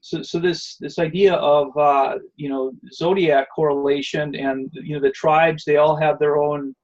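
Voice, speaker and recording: A man's voice: on the high side, little pitch movement, measured pace, a little mellow, lo-fi sound. A man giving an online presentation and answering questions, rambling with many fillers and restarts. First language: not Hebrew.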